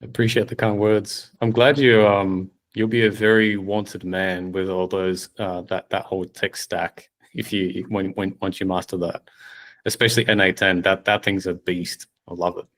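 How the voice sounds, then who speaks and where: A man's voice: low voice, flat delivery, normal speed, a bit bright. A man hosting a course video call, upbeat and encouraging. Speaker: course host. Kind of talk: casual call wrap-up.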